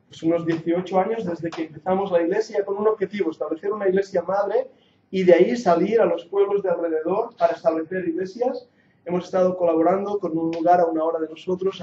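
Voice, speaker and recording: Catalan man teaching Bible, high echoey voice. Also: warm tone, slight background noise. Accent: Catalan Spanish mixed with English. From Catalonia, Spain. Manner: earnest and jokey, rising to emphatic preaching. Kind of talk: classroom lecture, sermon-like. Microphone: handheld or lapel mic. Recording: muffled echoey hall recording.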